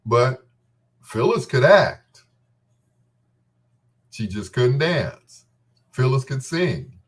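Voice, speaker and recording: A man's voice: medium pitch, very animated, drawn-out words. A man hosting a talk show with a casual, unscripted monologue, gossipy and emphatic, often repeating his phrases. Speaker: host of a bedtime talk show.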